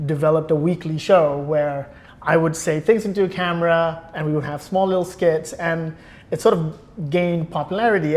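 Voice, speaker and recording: A man's voice: a little high, darkish tone. A man speaking as an interview guest, giving articulate, explanatory answers in a conversational style.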